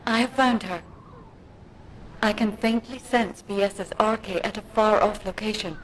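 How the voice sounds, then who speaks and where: A woman's voice: medium pitch, measured pace, slight background noise, natural voice, low-quality recording. A composed female tracker from a sci-fi video game, acted by a woman. She speaks formally and with control in a mid-range voice, over faint background ambience.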